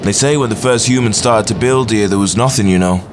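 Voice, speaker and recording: A man's voice: fairly low pitch, fairly quick, noisy background, lightly acted. A man acting an earnest, thoughtful lecturer and loyal friend in a science fiction audio drama, with a hesitant manner. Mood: reflective, wary. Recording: music under the voice. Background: music.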